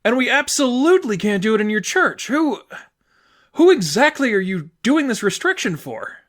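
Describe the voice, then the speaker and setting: An exasperated teenage girl, acted out by a man in an audiobook story, wounded and bitter. The pitch is pushed well above a usual male voice. The delivery is emphatic and sarcastic, halting when upset. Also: very animated, a bit bright, heavily acted. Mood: hurt, incredulous. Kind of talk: fan fiction audiobook dialogue.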